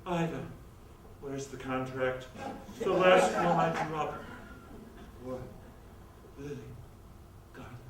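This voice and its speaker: Grandiose male theater producer, hammy and scheming, slow delivery, distant stage mic. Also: on the high side. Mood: feigned dying. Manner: hammy, drawn-out pleading. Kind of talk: staged reader's theatre comedy. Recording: roomy stage recording from afar, faint noise.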